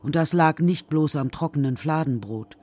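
A man's voice: on the high side, moderate pace, a little mellow. A man reading scripted narration for a children's radio play.

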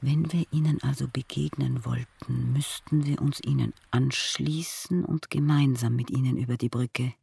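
A man reciting literary prose for a radio sound piece, solemn and measured, in short phrases. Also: medium pitch, steady tone, creaky, dark tone, slightly rough, no room sound, far from the mic. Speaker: reader of poetic prose. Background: music.

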